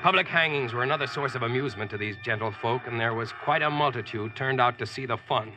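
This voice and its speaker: An actor playing a plainspoken, wry Yankee with an American accent, in an old-time radio drama. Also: male voice, medium pitch, fast, background noise, close to an everyday voice. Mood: wry storytelling. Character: wry, slangy Yankee man narrating his medieval adventure. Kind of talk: 1940s radio acting and storytelling.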